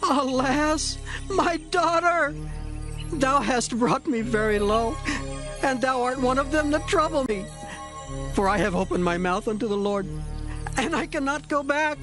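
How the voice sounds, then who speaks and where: An actor playing an anguished, solemn Israelite warrior leader in a dramatized scripture reading, declaiming in emphatic archaic phrases. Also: male voice, very high-pitched, a little slow, over music, poor audio.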